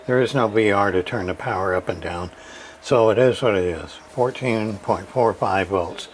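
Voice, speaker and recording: A man giving a casual, halting walkthrough of a radio repair, full of fillers and restarts. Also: on the low side, a little hiss or hum.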